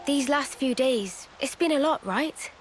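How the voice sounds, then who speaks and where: A woman's voice: high voice, expressive, unhurried, slight background noise. A woman playing a caring, earnest young comrade in a video game cutscene. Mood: concerned.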